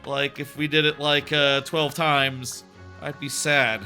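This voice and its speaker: A man's voice: on the high side, little pitch movement, takes it slowly, pushed and bright. A man casually chatting while streaming a game, rambling with lots of fillers, a bit sniffly with a sore throat. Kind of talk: casual gameplay commentary. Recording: game music playing behind. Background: game music.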